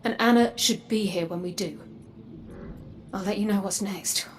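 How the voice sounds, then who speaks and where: Woman acting as a grave guarded android lady from a dark future, video game cutscene, measured n earnest, noisy ambience behind. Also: mid-range voice, lightly acted. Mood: intent, secretive.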